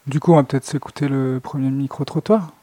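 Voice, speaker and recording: A man hosting a school radio show, introducing segments and summing up at a relaxed pace with many fillers. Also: mid-range voice, fairly quick.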